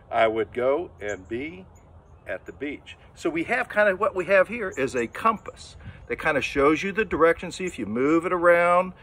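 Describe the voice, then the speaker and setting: A man's voice: sits high, slightly rough. An older man giving a children's sermon outdoors in front of a church, talking casually and acting out little voices as he goes. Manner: lively storytelling, acts out inner voices, gestures a lot. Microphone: camera a few metres away, standing full figure.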